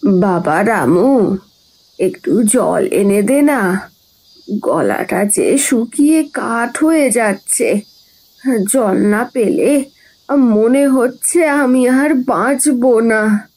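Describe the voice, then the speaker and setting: A woman voicing a weak, ailing village mother who pleads plaintively in an animated fairy tale. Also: fairly high pitch, full character voice, low-quality recording. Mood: weak, pleading. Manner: plaintive, drawn-out.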